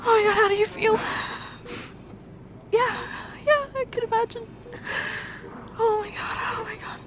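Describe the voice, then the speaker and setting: A woman voicing a distraught young fiancée in an audio roleplay, talking haltingly with stammers and repeated words in a shaky, tearful voice. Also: very high voice, steady tone, background noise. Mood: tearful relief. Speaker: ASMR roleplay performer.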